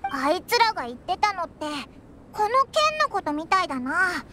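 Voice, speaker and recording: A woman's voice: extremely high pitch, big pitch swings, moderate pace, heavy background noise. A woman voicing a tiny childlike fairy companion in a video game, cheeky, blunt and easily startled. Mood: indignant, suspicious. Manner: blunt, boyish, exclamatory speech.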